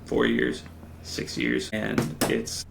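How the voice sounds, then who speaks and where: Gravelly-voiced man in his thirties. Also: mid-range voice, sing-song, slow pace, noisy background. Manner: self-deprecating, digresses mid-sentence. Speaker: player. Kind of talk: casual chat between friends.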